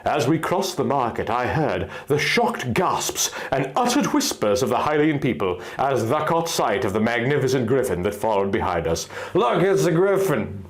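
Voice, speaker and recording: A man's voice: mid-range voice, very animated, moderate pace, hardly any pauses. A man narrating a first-person fantasy story in an earnest, theatrical storytelling manner.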